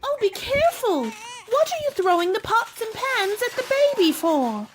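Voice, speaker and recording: Woman acting a very high-pitched, lively young girl. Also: normal speed, heavy background noise. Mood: curious, alarmed. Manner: exclamatory, questioning. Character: Alice, curious polite young girl, easily exasperated. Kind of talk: theatrical radio play acting.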